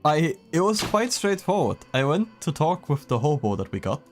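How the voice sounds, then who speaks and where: A man's voice: a little high, very animated, moderate pace, slight background noise. A man giving casual live gameplay commentary as a streamer, with joking, sarcastic asides. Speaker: game streamer.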